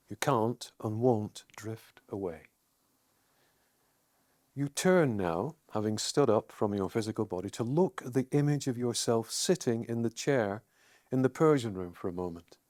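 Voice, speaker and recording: Male meditation guide: unhurried, soothing, deliberate pauses, dry close sound. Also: on the low side, darkish tone, no room sound.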